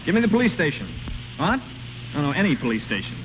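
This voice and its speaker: An actor playing a lovestruck, impulsive waiter in old radio romantic comedy, with an ardent, theatrical delivery on a noisy, tinny recording. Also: male voice, on the high side, big pitch swings, quick pace, faint music behind. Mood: polite, flustered. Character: lovestruck, impulsive waiter courting a grand duchess.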